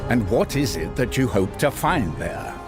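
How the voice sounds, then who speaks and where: An actor playing a loyal, stern space knight officer in a sci-fi game, with formal, dramatic delivery. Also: male voice, medium pitch, sing-song, unhurried, loud music behind the voice. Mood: stern concern.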